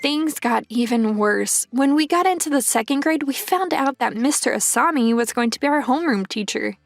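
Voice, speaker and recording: A woman's voice: sits high, animated, normal speed, no room sound, studio quality. A woman playing a very tall, insecure young woman turned pro basketball player, narrating her own story in an anime-style dub. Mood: aggrieved, gloomy.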